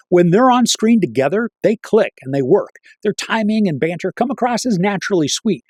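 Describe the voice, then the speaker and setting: A middle-aged man speaks as a reviewer in a somewhat high voice. His delivery is scripted and articulate, with wry opinions. The sound is dry, with no room echo. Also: a little mellow, slightly rough. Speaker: film reviewer and channel host. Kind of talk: scripted movie review to camera.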